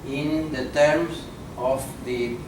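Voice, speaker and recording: A male professor lectures at a conference, his coloring every word. He speaks in a deliberate, halting way, often pausing on fillers. The mic is far off in a roomy, echoing space with a steady noisy hum underneath. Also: medium pitch. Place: conference room. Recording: echoey room from far off, noisy.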